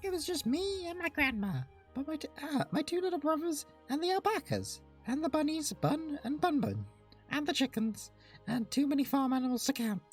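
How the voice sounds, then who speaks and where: A woman's voice: sits high, lively intonation, normal speed, soft background music, voice pushed up. A woman voicing a cheerful, sweet young country girl from a dating game, with a bubbly, cutesy delivery. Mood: cheerful, nostalgic. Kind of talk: visual novel character read aloud.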